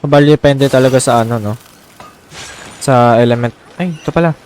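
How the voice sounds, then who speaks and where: A man's voice: mid-range voice, fast, darkish tone, a little hiss or hum. A man who is a native Filipino speaker, giving casual gameplay commentary as a streamer. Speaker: game streamer.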